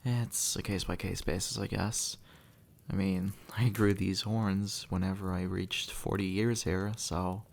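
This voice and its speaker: A sardonic male demon, acted by a man for an intimate audio roleplay. His voice sits low with a heavy creak, and he talks bluntly and conversationally, like someone long tired of explaining the afterlife to newcomers. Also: steady tone, unhurried. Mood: weary, explaining. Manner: sarcastic, exasperated, blunt, conversational. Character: sardonic, impatient male demon, centuries dead, gruffly reassuring. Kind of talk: audio roleplay monologue addressed to the listener.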